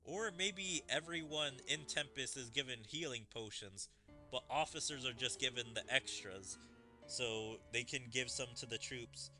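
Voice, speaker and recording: A man's voice: medium pitch, a little slow, pushed and bright. A man streaming a game, analytically puzzling aloud over the story and its wording as he plays. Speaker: gaming streamer. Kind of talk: let's play commentary, reading dialogue aloud. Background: video game music.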